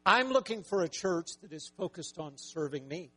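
A man preaching a sermon with a raspy voice, talking conversationally and joking with the congregation. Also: sits high, expressive, normal speed, pushed and bright. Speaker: pastor.

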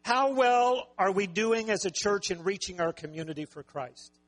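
A man preaching a sermon with a raspy voice, talking conversationally and joking with the congregation. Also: high voice, animated, normal speed. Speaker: pastor.

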